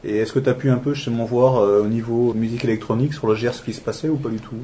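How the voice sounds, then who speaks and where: Quick-talking man asking interview questions. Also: medium pitch, fairly even intonation, dark tone, a little hiss or hum. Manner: quick and informal, tacks on follow-up questions.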